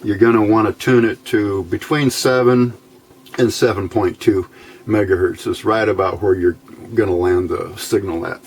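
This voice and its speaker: A man presenting an informal technical tutorial in a halting, easygoing, folksy way. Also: on the low side, fairly even intonation, a little hiss or hum. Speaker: hobbyist presenter of electronics build videos.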